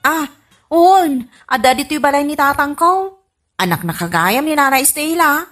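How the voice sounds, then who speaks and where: A woman's voice: high-pitched, lively intonation, moderate pace, fairly bright tone, faint music behind. A woman playing a nosy, incredulous grown daughter in an Ilocano comedy radio drama, asking and exclaiming in an Ilocano accent. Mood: puzzled questioning. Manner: questioning, exclaiming.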